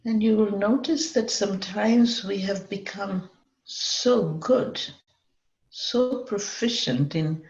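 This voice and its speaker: A woman leading a guided meditation in a measured way, often pausing in the middle of sentences. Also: fairly low pitch, a little mellow. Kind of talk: guided meditation, semi-improvised. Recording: dull lo-fi, echoey room.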